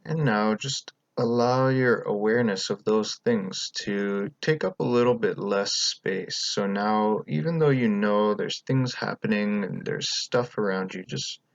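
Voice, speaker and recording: Low-voiced man guiding a calm meditation. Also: no echo, highs cut off. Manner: calm, gentle, unhurried instruction with pauses. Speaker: meditation guide. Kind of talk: guided meditation, unscripted.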